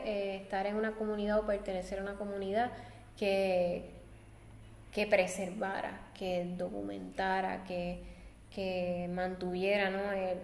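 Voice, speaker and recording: A woman's voice: mid-range voice, darkish tone, a little hiss or hum. Earnest woman in a reverberant room. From Puerto Rico. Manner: thoughtful, searching for words, earnest. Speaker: guest designer. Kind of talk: reflective interview answers. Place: seated at a table with coffee. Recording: roomy echo with long reverb.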